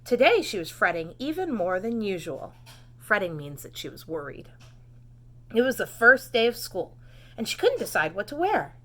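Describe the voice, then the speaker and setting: A woman teacher reading a storybook to preschoolers, expressive and dramatic, pausing for simple questions and explanations. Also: medium pitch. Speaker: preschool read-aloud teacher. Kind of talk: children's storybook narration with asides and questions.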